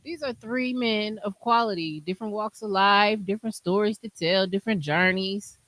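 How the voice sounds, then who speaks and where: A woman's voice: medium pitch, normal speed, fairly bright tone. Upbeat woman podcast host, easy laughter. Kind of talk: casual chat.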